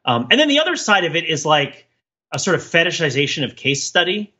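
A man with a bright, forceful voice and a husky edge chats as a podcast co-host. He explains ideas at length in a roaming, professorial manner. The recording sounds a little dull and lo-fi. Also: on the high side, sing-song, a little fast. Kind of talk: casual analytical podcast chat.

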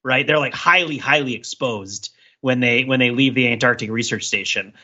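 A man co-hosting a casual film discussion podcast, thinking aloud in a halting, husky voice. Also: medium pitch, sharp tone. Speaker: podcast co-host. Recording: slightly dull, lo-fi audio.

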